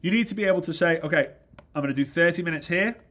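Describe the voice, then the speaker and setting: A man with a somewhat high voice coaches an audience on a business routine in an energetic, motivational way. He sounds congested and keeps checking in with "right?" as he talks. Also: very fast. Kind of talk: motivational training talk.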